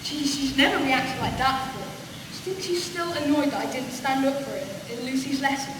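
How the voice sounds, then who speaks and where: A woman's voice: high-pitched, brisk, close to an everyday voice. A young woman playing a whiny, demanding, lazy schoolboy in a comedic radio play. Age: young adult. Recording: distant echoey hall, clear background noise.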